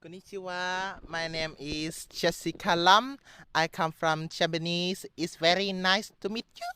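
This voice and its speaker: A young woman with a Vietnamese accent playing a female foreign expert guest, reciting halting English. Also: lowish voice, bright, forceful tone, clear, resonant tone, no room sound. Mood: polite.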